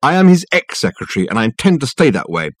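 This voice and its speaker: Man putting on an indignant, higher-pitched young woman. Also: sing-song, fast talker, no echo. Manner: clipped, haughty, emphatic. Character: indignant youngish woman, a sharp-tongued ex-secretary.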